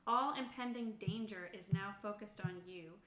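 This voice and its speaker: Bright-voiced woman in an echoey room. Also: medium pitch, moderate pace. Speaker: tabletop roleplaying player. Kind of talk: casual game chat. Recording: roomy echo, faint background noise.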